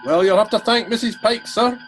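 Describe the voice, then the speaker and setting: An actor playing a dour, deadpan ship's engineer in a comic radio play. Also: male voice, high-pitched, a little fast, soft background music. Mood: wry.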